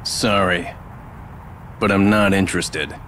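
A man playing a cold, stoic young lone-wolf warrior in a video game, terse, aloof and deadpan. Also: low-pitched, slow, a little hiss or hum. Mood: cold disdain. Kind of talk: video game cutscene acting. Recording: loud music behind the voice. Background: game music.

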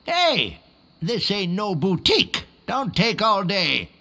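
A man playing a surly, sarcastic pirate shopkeeper in a cartoonish video game, raspy, gruff and impatient. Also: sits high, huge pitch swings, takes it slowly, bright, forceful tone, heavily acted.

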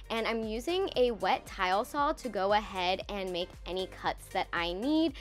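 A woman's voice: medium pitch, a bit bright. Brief: young woman, eager DIY vlogger voice-over, faint music underneath. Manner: enthusiastic, upbeat and explanatory. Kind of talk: voice-over narration of a DIY project. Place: voice-over, not recorded in the pictured bathroom.

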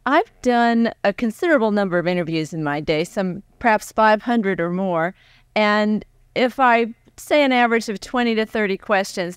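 A woman's voice: medium pitch, clean tone, no echo. An American woman in her forties interviewing a guest in a conversational style. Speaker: professional interviewer. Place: stage before a live audience. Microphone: close microphone on a stand.

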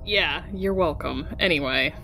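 A woman's voice: low voice, unhurried, gravelly, bright, forceful tone, faint music behind, rough recording. A woman playing a tabletop game with friends, chatting casually and joking wryly. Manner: wry, joking.

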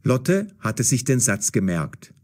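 A man narrating a scripted audio story as storyteller, with a husky voice. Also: medium pitch, big pitch swings, takes it slowly, darkish tone.

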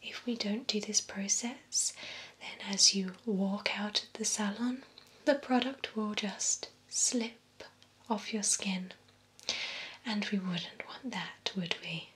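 Whispering, unhurried vampire beautician played by a woman. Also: mid-range voice. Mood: courteous, reassuring. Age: young adult. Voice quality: breathy, whispered soft speech. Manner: slow, soothing, formal, attentive. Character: poised, courteous female vampire spa beautician. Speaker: ASMR performer. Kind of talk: whispered ASMR roleplay. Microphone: close binaural microphone. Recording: close ASMR microphone with background hiss.

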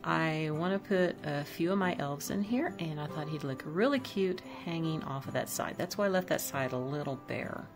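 A woman's voice: sits low, moderate pace, few pauses, music in the background. A woman chatting casually as she decorates her home kitchen, thinking aloud about each piece. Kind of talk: casual voice-over demo.

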